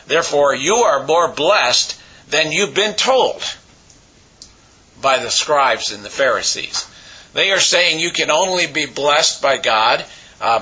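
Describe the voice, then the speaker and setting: A man with a raspy voice, hoarse from a cold, preaching a sermon as pastor with many pauses and fillers. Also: medium pitch, sharp tone, dull audio.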